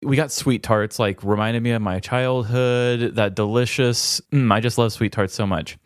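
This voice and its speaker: A man's voice: mid-range voice, fairly even intonation, moderate pace, clean tone, dry recording, studio quality. A man co-hosting a podcast in casual comedic chat, playful, self-deprecating and mock-earnest. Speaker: podcast co-host.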